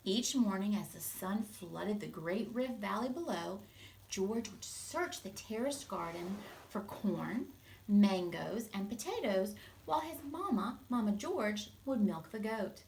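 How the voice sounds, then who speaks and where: A woman teacher reading a storybook aloud to children, expressive and instructive, pausing to explain things simply. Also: mid-range voice, creaky, a little hiss or hum, low-quality recording.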